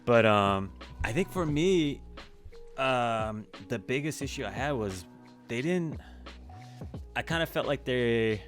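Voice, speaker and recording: A man co-hosting a podcast, giving his opinions in casual, animated talk. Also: medium pitch, slow pace, soft background music. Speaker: podcast co-host. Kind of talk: casual chat.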